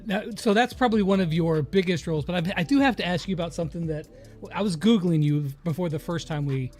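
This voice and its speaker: A man's voice: sits high, fast talker, a little mellow. A man interviewing a guest in a casual, chatty style. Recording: music playing behind the voice.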